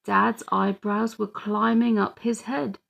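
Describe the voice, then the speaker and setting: Unhurried, creaky-voiced woman reading a story. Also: mid-range voice, level tone. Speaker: audiobook reader. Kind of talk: scripted children's story narration.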